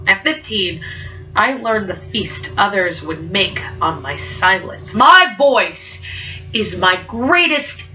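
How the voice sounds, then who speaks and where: A woman's voice: medium pitch, expressive, slow pace, pushed and bright, faint music behind. A young woman performing spoken word poetry, speaking with a stutter and an emphatic, rhythmic delivery. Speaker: poet. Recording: muffled, from far off.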